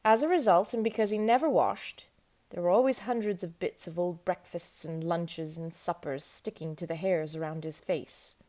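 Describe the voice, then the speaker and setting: A woman reading a children's book aloud in an expressive, emphatic storytelling manner. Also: lowish voice, moderate pace. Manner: animated, emphatic storytelling with dramatic stresses. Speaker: storybook reader.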